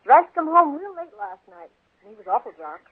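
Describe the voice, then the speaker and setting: An actress playing a plain, hospitable, uneasy rancher's wife in a 1950s radio western, with clipped, folksy country phrasing. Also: female voice, sits high, big pitch swings, normal speed. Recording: muffled, narrow 1950s radio recording.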